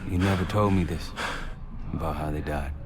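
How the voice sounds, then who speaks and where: A man playing a steady, commanding operative leading his team in a game cutscene. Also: extremely low pitch, moderate pace, noisy background. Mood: calm, probing. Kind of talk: dramatic game cutscene acting. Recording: loud score under the voice.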